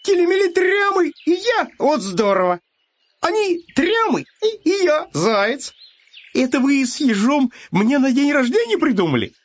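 A man voicing an excitable, simple, cheerful young hare in a storybook tale, exclaiming in bewildered, colloquial bursts. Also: extremely high pitch, unhurried, husky, muffled recording, voice pushed up, exaggerated voice. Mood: delighted.

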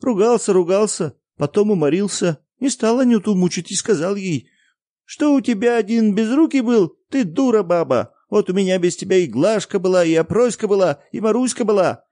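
A man's voice: animated, moderate pace, mellow, no echo, clean studio sound. A man doing an indignant peasant boy. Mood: earnest, rambling. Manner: high, indignant, scolding tone. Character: defiant peasant boy, earnest and scolding.

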